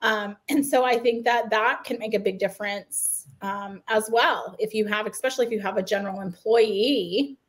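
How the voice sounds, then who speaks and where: A woman's voice: mid-range voice, moderate pace, hardly any pauses. A woman lawyer co-hosting a video call, recounting her experience in a conversational way. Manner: conversational, shares experience, agreeing "right". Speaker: co-host, lawyer.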